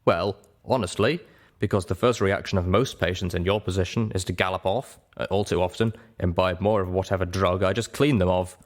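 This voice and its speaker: Man playing a genial low-voiced stallion doctor. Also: steady tone, lightly acted. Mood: genial, candid. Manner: genial, measured, polite. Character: genial adult stallion doctor, patient and candid. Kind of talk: audiobook character voice in storybook reading.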